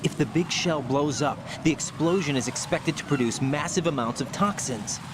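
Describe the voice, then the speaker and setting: An actor playing a young special-forces rookie in a video game, earnest, dutiful and full of questions. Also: male voice, on the high side, normal speed, background noise, close to an everyday voice. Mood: earnest, concerned. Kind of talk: video game dialogue acting.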